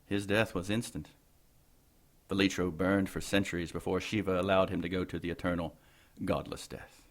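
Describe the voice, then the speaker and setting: A man playing an older, formal and deferential corrupt bishop in an audio drama, with measured diction. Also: low voice, little pitch movement. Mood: grave, measured.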